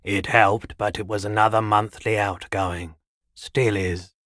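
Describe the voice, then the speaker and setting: An actor voicing a haggard, indebted male shopkeeper in a video game, with a measured, understated, resigned manner. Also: on the low side, fairly even intonation, fairly bright tone. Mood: subdued, confiding. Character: haggard, low-key pawnshop keeper, weary and indebted. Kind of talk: video game character dialogue.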